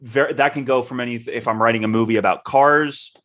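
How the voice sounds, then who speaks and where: A man's voice: medium pitch, steady tone, fairly quick, fairly bright tone, a little hiss or hum. A man co-hosting a podcast in casual chat, talking loosely with many fillers and self-corrections. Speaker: podcast co-host.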